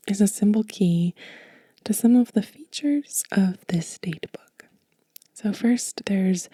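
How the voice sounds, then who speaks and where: A woman hosting a soft-spoken ASMR show-and-tell, whispering gently and breathily at an unhurried pace. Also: medium pitch, husky, no echo. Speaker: ASMR channel host.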